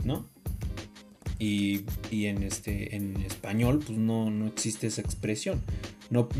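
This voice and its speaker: A man with a Mexican accent talking casually in an opinionated, slangy, expressive way, as a podcast co-host. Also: fairly low pitch.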